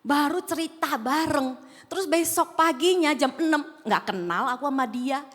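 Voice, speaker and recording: A woman pastor with a slight Javanese accent preaches to a congregation in a large auditorium. Her voice sits fairly high, and she keeps putting questions to her listeners. A long reverb from the hall trails behind her words. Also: normal speed, a bit bright. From Sumatra, Indonesia. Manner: conversational, asks the congregation questions. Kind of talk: sermon. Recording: echoing hall.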